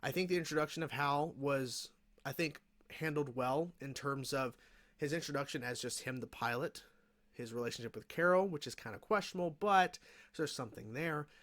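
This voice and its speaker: A man's voice: fairly high pitch, normal speed, fairly bright tone. A man hosting a reaction video, giving casual commentary in a rambling, self-correcting way with frequent hedges. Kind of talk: casual review commentary on a cartoon episode.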